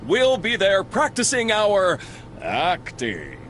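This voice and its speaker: Casting: flustered, eager cartoon food sidekick, high voice, slow animated delivery. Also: male voice, a little hiss or hum, exaggerated voice. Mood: earnest. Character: eager hash brown sidekick actor, excitable and easily flustered. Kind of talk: children's cartoon game voice acting. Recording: music behind the voice.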